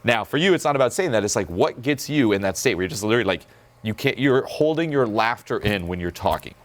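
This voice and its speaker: A man coaching about dating in casual, profane talk, acting out quick bits of dialogue. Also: lowish voice, expressive, a little hiss or hum.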